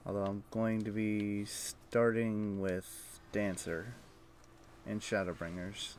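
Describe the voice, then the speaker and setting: A man streaming a video game, thinking aloud in a low-key, conversational way with casual commentary. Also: little pitch movement, slow pace, slight background noise. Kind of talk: casual live gameplay commentary and chat.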